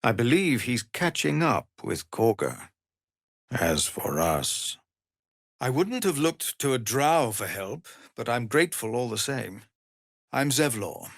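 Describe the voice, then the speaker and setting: A fallen warrior leading refugees in a fantasy game. His voice is gravelly and husky, with an unhurried, formal and grave delivery. He sounds weary yet earnest, recorded close and dry. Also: medium pitch, no echo. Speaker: video game character voice. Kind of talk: dramatic fantasy game dialogue.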